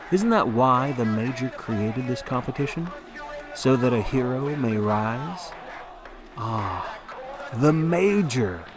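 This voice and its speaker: Man playing a slow, swooping dystopian sports broadcaster. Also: mid-range voice, slight background noise. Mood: grandiose, then reverent. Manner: theatrical, reverent, grandiose. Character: excitable, fawning male dystopian sports broadcaster. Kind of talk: play-by-play sports commentary.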